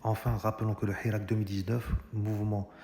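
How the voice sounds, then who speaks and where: A man's voice: lowish voice, level tone, quick pace, warm tone. Man arguing in an echoey room. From Algeria. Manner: argumentative, addresses viewers directly, frequent hesitations. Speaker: commentator. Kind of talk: political monologue. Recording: echoey room with long reverb. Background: music.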